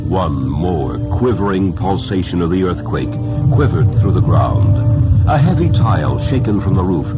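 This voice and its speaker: A man with a remarkably deep bass voice narrates a storybook tale. His delivery is solemn, reverent and dramatic, measured in its phrasing. Loud music plays beneath him. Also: rough recording. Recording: music under the voice.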